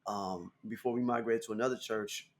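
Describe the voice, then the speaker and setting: An American man in his thirties, a guest musician telling stories in a casual interview, animated and laughing often. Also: brisk. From United States. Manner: animated, laughing, jumps into high voice for quotes.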